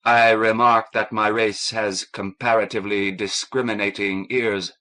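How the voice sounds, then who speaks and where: A man voicing a big, courteous, melancholy alien in an audiobook, speaking slowly and formally. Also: on the low side, level tone, pushed and bright. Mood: formal, hesitant. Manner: slow, formal, deliberate.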